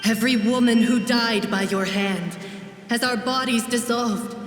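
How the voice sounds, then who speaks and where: An actress playing a sorrowful, accusing spirit of murdered women in a video game, speaking in a measured way. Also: female voice, on the high side, faint music behind, lightly acted. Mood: cold, solemn. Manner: slow, measured, accusatory speech. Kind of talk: dramatic video game voice acting. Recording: echoey long reverb, distant.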